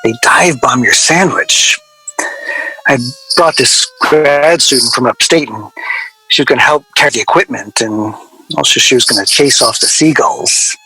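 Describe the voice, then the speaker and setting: A man playing a wry, middle-aged record-label veteran in a radio drama, reminiscing to an interviewer in a halting, digressive way. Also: mid-range voice, huge pitch swings, bright, forceful tone, dry recording, heavily acted, low-quality recording. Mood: wry reminiscence. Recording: location recording with clear background noise.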